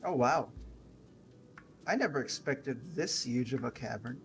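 A man's voice: medium pitch, animated, slow pace, a little hiss or hum. A man giving casual let's play commentary in a chatty voice-over. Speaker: gamer commentating on playthrough. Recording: voice-over above game music. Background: game music.

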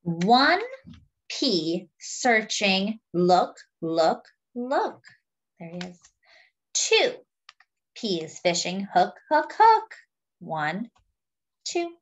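A young adult woman reading a picture book aloud to small children, addressing them in a deliberate sing-song way. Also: mid-range voice, very animated. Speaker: children's story reader.

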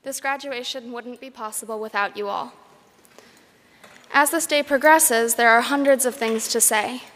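A woman's voice: a little high, normal speed, fairly bright tone. Young woman speaking in a reverberant hall. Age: young adult. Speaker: graduating student speaker. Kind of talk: prepared commencement speech. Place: large concert hall, speaking at a graduation ceremony. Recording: echoey large hall PA.

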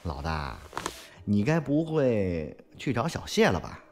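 Man acting an anxious underling, swooping low voice. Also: big pitch swings, measured pace, background noise. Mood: worried. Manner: deferential, fretful. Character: anxious loyal male underling of a gang boss. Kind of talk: audio drama acting in Mandarin.

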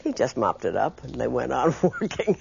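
Husky, creaky older man. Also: medium pitch, sing-song, normal speed, darkish tone. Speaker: interviewee. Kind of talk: anecdotal reminiscence. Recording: dull, lo-fi interview audio.

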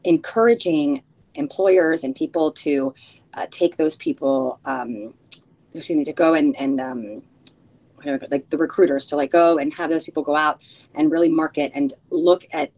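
A woman's voice: low-pitched, darkish tone, low-quality recording. A woman in her thirties whose first language is Spanish, an attorney introducing herself on a video call from her office, earnest with many fillers. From United States. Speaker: attorney and committee co-chair. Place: office with drop ceiling. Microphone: laptop webcam on video call.

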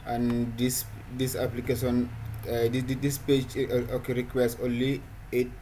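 A man talks through a coding tutorial while recording his screen, stumbling and repeating words as he goes. His voice sits at an ordinary middle pitch. A steady layer of noise runs under the recording. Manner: halting, repeats words, non-standard English phrasing. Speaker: programming tutorial host. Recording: noisy home recording.

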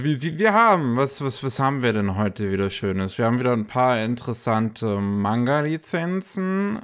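A man co-hosting a podcast, talking casually and haltingly, slightly congested from a cold. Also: fairly low pitch, smooth. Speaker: podcast co-host. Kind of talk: casual news podcast talk.

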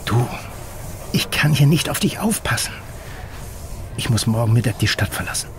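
A man's voice: lively intonation, moderate pace, background noise, natural voice. A man playing a grave, protective middle-aged guardian in an audio drama, terse and controlled. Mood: earnest, urging. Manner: terse, controlled, low-key. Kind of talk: naturalistic audio drama acting.

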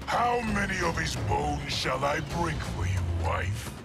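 Brief: gravelly tyrant emperor, scornful and slow, loud score behind. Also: male voice, very animated, heavily acted. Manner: booming, mocking, commanding. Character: brutal male warlord emperor, arrogant and scornful. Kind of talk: video game cutscene acting. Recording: game cutscene with loud music.

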